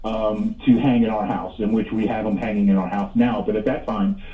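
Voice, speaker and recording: A man in his fifties telling stories as an interview guest in a halting, rambling way, repeating words as he goes. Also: on the low side, fairly even intonation. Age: 50s. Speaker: radio show guest, paranormal investigator. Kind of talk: casual interview storytelling. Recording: tinny phone line, echoey, distant.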